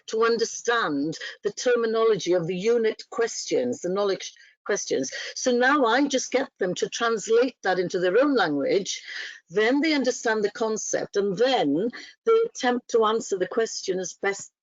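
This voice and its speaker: A woman's voice: on the high side, expressive, moderate pace, no room sound. A Welsh-speaking woman talks through her training work on a webinar panel, loose and chatty, full of fillers and restarts. Her video call audio is dry and muffled. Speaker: training officer.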